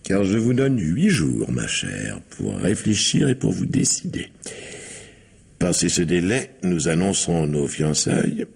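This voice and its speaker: A man's voice: low voice, very animated, a little slow, gravelly, a little mellow, breathy edge, heavily acted. An actor playing a suave, domineering blackmailing businessman in a radio mystery, mock-courteous and imperious. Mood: silky threat. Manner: mocking mock-courteous, imperious when irritated. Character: sinister, suave, domineering blackmailing factory owner. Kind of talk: French radio mystery drama acting.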